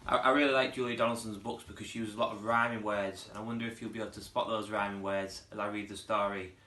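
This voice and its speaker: A man's voice: lowish voice, quick pace, echoey room. A man, a primary school teacher reading a children's storybook aloud expressively. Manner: expressive, putting on character voices.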